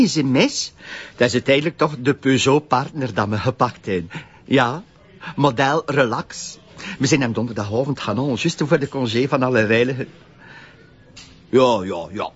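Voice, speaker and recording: Husky, chattering Flemish policeman. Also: mid-range voice. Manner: rambling, excitable, familiar. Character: chatty, gossipy, work-shy male police officer. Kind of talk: radio drama acting. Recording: dull, muffled lo-fi radio drama.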